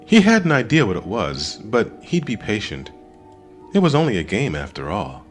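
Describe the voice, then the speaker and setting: A man narrating an audiobook in a dramatic scripted style, expressive, with deliberate pauses. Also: on the low side, faint music behind.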